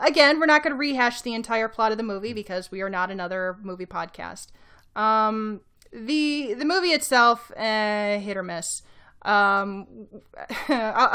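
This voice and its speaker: A woman co-hosting a podcast, explaining things casually with dry, measured phrasing. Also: a little high, pushed and bright, smooth. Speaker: podcast co-host.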